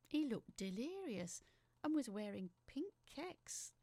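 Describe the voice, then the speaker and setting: A woman's voice: a little high, big pitch swings, slow pace, warm tone, no echo, full character voice. A woman playing a chatty, apologetic working-class factory worker, telling her story as a rambling, wistful letter in an audio drama. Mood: wistful, storytelling. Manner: rambling, self-deprecating, wistful.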